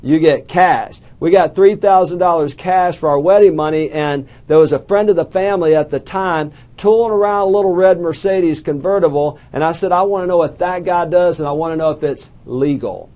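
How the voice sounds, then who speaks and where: A man's voice: on the high side, normal speed, hardly any pauses, a little mellow. A middle-aged man with an Alabama Southern accent giving a motivational talk to an audience, telling folksy stories with self-deprecating jokes. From southern United States. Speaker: small business coach speaker.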